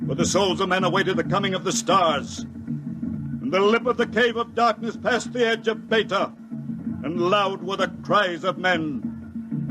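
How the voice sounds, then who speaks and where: An actor playing a grim, zealous cult priest in a radio drama, declaiming solemnly like scripture. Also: male voice, sits high, poor audio. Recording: loud music under the voice.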